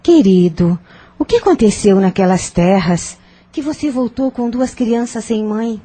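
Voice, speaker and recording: Woman playing a mellow, husky, wise sister. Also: a little high, animated. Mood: concerned. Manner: earnest, measured, consoling. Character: wise, compassionate, firm younger sister. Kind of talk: audio novel acting. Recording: music behind the voice.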